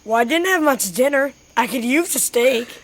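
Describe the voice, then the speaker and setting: A woman's voice: high-pitched, lively intonation, normal speed. A young female-sounding performer playing an easygoing, joking boy in an amateur radio drama. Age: child.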